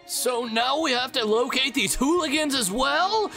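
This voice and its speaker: Grumpy gravelly demon sidekick. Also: female voice, high voice, lively intonation, measured pace, bright, forceful tone, soft background music, exaggerated voice. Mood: irritated. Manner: irritable, snappish.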